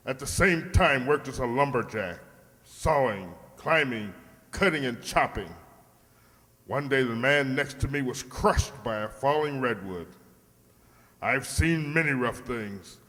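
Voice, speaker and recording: An actor playing a gruff, imperious portrait painter with a curt, blunt manner in a radio comedy. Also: male voice, medium pitch, sharp tone. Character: gruff, brusque, macho male portrait painter. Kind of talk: old radio comedy acting. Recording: long hall reverb.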